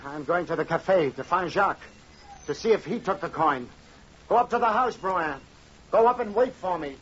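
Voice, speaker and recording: An actor playing a grave, careworn middle-aged fisherman father in old-time radio drama style, halting and earnest. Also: high voice, lively intonation, a bit bright, slightly rough, full character voice. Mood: urgent, agitated. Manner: halting, earnest, repeating words. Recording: dull, noisy vintage radio recording.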